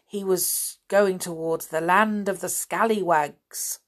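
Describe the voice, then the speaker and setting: A woman voicing an excitable male gnome in a children's story, calling out eagerly and matter-of-factly. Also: on the low side, slow pace. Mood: surprised, sure. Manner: yelling, eager, matter-of-fact. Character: excitable male gnome shouting from his tower.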